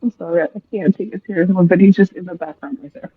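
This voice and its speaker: Husky woman, casual gaming commentary, muffled sound. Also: fairly low pitch, quick pace. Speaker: gaming streamer. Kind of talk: casual let's play commentary. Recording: dull, lo-fi microphone sound.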